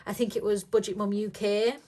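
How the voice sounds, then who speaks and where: A woman talking casually to her viewers in a slightly croaky voice. She rambles along with plenty of ums and short giggles, in a pitch that sits in the middle for a woman. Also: little pitch movement, brisk, a little mellow. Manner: rambling and chatty, with many ums and frequent giggles. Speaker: budgeting vlogger. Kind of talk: casual chat to camera.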